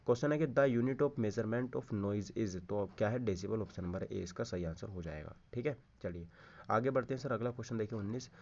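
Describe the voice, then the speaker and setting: The speaker is a man with a fairly low-pitched voice. He teaches in an informal exam-coaching style, repeating answers and often checking that listeners follow. He switches between Hindi and English terms, and the recording sounds dull and lo-fi. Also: moderate pace, vocal fry, darkish tone. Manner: repeats key answers, frequent check-in tags, addresses listeners directly. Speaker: exam-prep physics teacher. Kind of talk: informal lesson, going through quiz questions.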